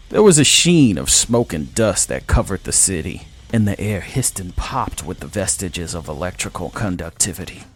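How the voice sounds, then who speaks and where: A man narrating a scripted science fiction story in a dramatic style. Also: lowish voice.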